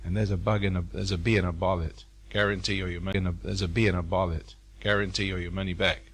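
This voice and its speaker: A man's voice: low voice, flat delivery, quick pace. An older man speaking to a live seminar audience in a hotel room, folksy and preacherly, repeating phrases for emphasis.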